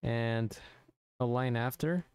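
A man narrating a software tutorial informally, thinking aloud step by step into a close mic. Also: medium pitch, takes it slowly, clear, resonant tone, no echo. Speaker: tutorial presenter.

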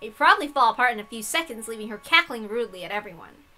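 A woman host reading a visual novel aloud, mixing dramatic narration with excitable, irreverent, sweary asides. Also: a little high, racing.

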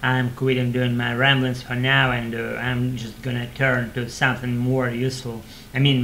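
A man's voice: mid-range voice, bright, forceful tone, a little hiss or hum, rough recording. A man in his thirties whose first language is not English, talking casually to his webcam in a small room at home, hesitant and full of fillers and restarts. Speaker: channel host practicing English. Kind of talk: casual rambling monologue to webcam. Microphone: webcam microphone at desk.

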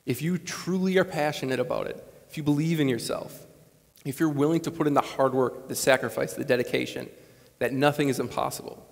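A man's voice: medium pitch, fairly quick, gravelly. A man in his late twenties from Wisconsin giving an earnest, conversational commencement speech on a lapel mic. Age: late 20s. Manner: earnest, conversational, animated gestures. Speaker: commencement speaker. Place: large school gymnasium, on stage. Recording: long gym reverb.

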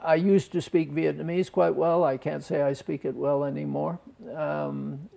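An older man in his sixties with a heavily creaky voice, a little higher than most men. He answers interview questions thoughtfully and haltingly, often restarting a word. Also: little pitch movement, dark tone. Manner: halting, hesitant, with repeated word starts. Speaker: talk show guest. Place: TV talk show studio. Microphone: lapel.

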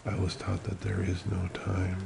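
A man speaking very softly as an ASMR host, slow and soothing, often slipping into a whisper in a creaky murmur. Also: deep voice, completely flat delivery, background noise. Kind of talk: soft-spoken ASMR personal attention, slow guided relaxation.

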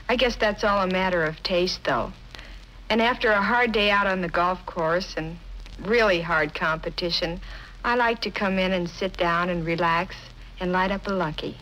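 American female athlete, 1950s radio ad endorsement, mid-pitched voice, light hiss. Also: fairly bright tone. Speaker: professional golfer giving testimonial. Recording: slightly noisy old radio recording.

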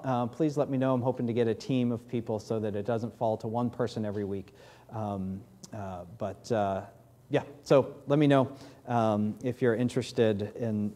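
Pastor, 30s man, New England accent, mellow tone, many ums, pulpit mic in big sanctuary. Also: mid-range voice. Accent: New England American. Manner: halting, many fillers, friendly. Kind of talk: church announcements and prayer. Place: large church sanctuary, in doors. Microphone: podium mic.

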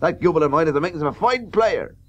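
Actor as a blustery, high-pitched Victorian country doctor. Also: male voice, fast, dull audio, natural voice. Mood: earnest. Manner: blustery, excitable. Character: famous Victorian cricketing country doctor, bluff and hearty. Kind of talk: radio play acting. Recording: hissy old radio broadcast recording.

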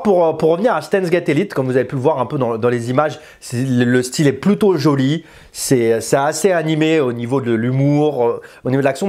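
A man's voice: fairly high pitch, darkish tone. A man in his thirties giving a casual video game review to camera in an informal, chatty way, often repeating and correcting himself. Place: small indoor room with bookshelves. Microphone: lapel mic.

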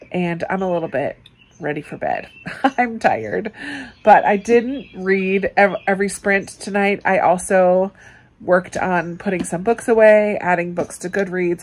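A woman vlogger chatting casually and informally to camera about her day, in an easygoing way. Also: lowish voice. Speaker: book vlogger, host. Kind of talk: casual weekend vlog chat to camera.

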